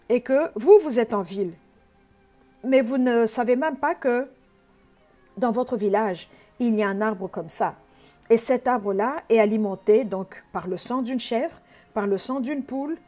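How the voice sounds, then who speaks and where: A woman's voice: a little high, dark tone. A woman from Congo preaching an earnest sermon, teaching with frequent hesitations.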